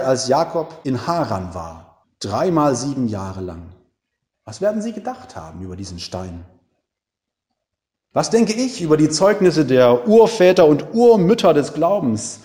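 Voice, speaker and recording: A man preaching a reflective sermon, with dramatic pauses, rhetorical questions and emphatic single words. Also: fairly high pitch, dark tone, in a large hall. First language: German.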